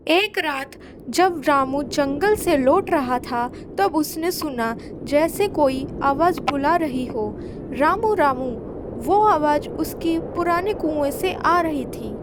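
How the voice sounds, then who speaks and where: A woman tells a children's story in a high voice. She reads at an unhurried, slow pace from a script, with music clearly playing behind her.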